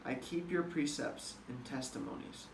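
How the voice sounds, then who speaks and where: A man's voice: medium pitch, normal speed. A man reading scripture aloud, recorded in a distant, echoey room with some noise. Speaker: Bible reader.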